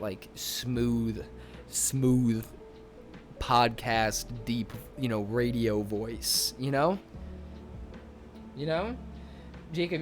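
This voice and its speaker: A young man streaming, chatting casually and excitably with viewers, rambling with lots of fillers. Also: mid-range voice. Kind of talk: casual chat reading viewer comments.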